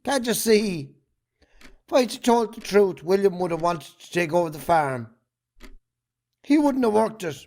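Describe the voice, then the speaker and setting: A man with an Irish accent acting a defensive, guilt-ridden farming man in an amateur drama, speaking haltingly. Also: sits high, lively intonation, darkish tone. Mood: agitated, defensive.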